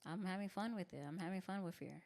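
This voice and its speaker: A young adult woman comedian from Tucson with a deep, bassy voice, talking candidly in a podcast interview with frequent fillers and easy laughs. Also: fast, darkish tone. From Tucson, Arizona. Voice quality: deep voice with bass. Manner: candid, laughs often, frequent fillers like "like" and "you know what I mean". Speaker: stand-up comedian, podcast guest. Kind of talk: casual interview conversation.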